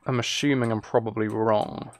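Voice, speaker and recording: A man's voice: fairly low pitch, steady tone, normal speed, slight background noise. A man chats casually with viewers as he plays a game, rambling and musing aloud. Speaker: live streamer. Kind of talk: casual chat with viewers while gaming.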